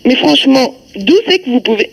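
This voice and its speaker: A woman's voice: sits high, lively intonation, a little fast, creaky, a little hiss or hum. A woman talking spontaneously on a phone call, plain-spoken and repeating herself as she answers. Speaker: prank call recipient. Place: at home, on the telephone.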